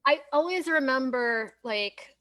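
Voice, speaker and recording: A woman hosting a casual interview, speaking informally in short, halting, agreeable phrases. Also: high-pitched, lively intonation, fairly bright tone. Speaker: interview host.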